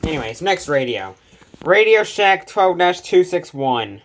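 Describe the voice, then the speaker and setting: Low-voiced woman, blunt and exasperated. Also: big pitch swings, unhurried, slight background noise, poor audio. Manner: exasperated, blunt, easily irritated. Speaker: host of a radio collection video. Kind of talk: casual show-and-tell vlog with swearing.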